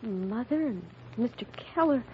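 Anxious young daughter, animated pitch. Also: female voice, unhurried, slightly rough, full character voice. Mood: worried. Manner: pleading, worried. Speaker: radio drama actor. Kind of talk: old-time radio mystery acting. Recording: muffled, noisy old radio recording.

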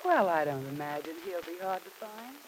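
A woman's voice: on the low side, expressive, normal speed, a little hiss or hum, close to an everyday voice, poor audio. An actress playing a haughty, pushy young society woman with arch, mannered politeness in a 1940s radio soap opera. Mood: cool, clipped. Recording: old radio drama recording.

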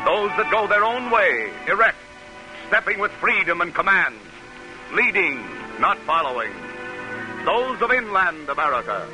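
A man's voice: sits high, low-quality recording. A man declaiming poetry solemnly in a vintage radio style. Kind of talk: dramatic poetry recitation, 1940s radio acting. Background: music.

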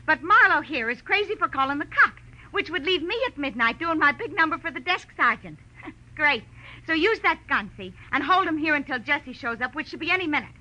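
A hard, brassy showgirl moll snaps out orders in a high, piercing, very bright voice with big swoops. The style is 1940s radio crime drama. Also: expressive, brisk, full character voice, rough recording. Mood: bossy, impatient. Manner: sharp, commanding, snappy.

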